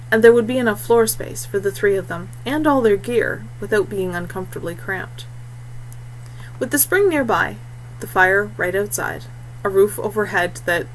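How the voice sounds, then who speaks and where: A woman's voice: medium pitch, a little hiss or hum, low-quality recording. A woman reading scripted fiction as an audiobook narrator.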